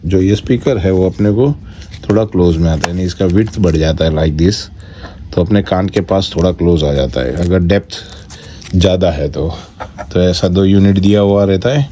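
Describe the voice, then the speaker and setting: A man with an Indian accent giving a casual, step-by-step product walkthrough in short, halting phrases. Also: low-pitched, dark tone. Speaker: product reviewer and vlogger. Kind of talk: casual unboxing walkthrough, Hindi mixed with English. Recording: noticeable background noise.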